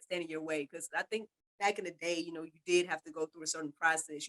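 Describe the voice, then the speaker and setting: A woman's voice: low voice, fairly bright tone, poor audio. A woman podcast host chatting casually in an interview, with an informal, rambling way of talking. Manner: informal, rambling, repeats "you know".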